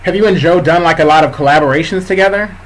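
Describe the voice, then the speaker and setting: Hesitant fairly high-voiced male interviewer. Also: fast talker, slight background noise, poor audio. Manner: hesitant, many fillers and restarts. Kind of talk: casual interview chat.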